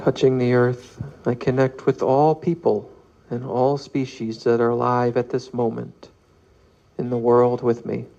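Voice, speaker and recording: A man leading a guided meditation, reading aloud calmly in measured phrases with long pauses. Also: medium pitch, creaky, highs cut off. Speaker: meditation leader.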